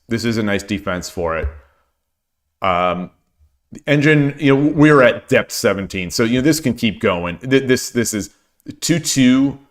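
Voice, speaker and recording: A hoarse man talks through his shogi games on a live stream, pitched in the ordinary middle range. He muses aloud and repeats his own phrases as he works out each position. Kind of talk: casual game commentary.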